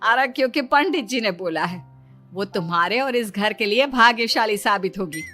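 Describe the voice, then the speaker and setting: A woman's voice: mid-range voice, moderate pace, pushed and bright, soft background music, close to an everyday voice. A woman voicing an eager, stubborn middle-aged mother with an emphatic manner. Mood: insistent.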